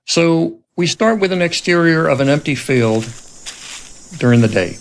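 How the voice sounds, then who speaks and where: A man's voice: a little high, lively intonation, moderate pace, rough recording. A man presenting a podcast in a scripted way and narrating a dramatic script reading as its writer-director.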